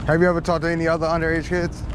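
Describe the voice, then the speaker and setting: A man's voice: on the high side, monotone, racing. Insistent man questioning someone outdoors, noisy. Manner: insistent, repeats questions and accusations. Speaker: host confronting a man on camera. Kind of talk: confrontational street questioning. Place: large open parking lot at night. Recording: very noisy outdoor action-camera audio.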